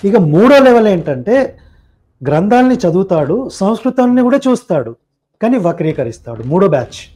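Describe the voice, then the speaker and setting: High-pitched, animated man explaining on a podcast. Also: a little fast. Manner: didactic, opinionated, explains point by point. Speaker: podcast guest.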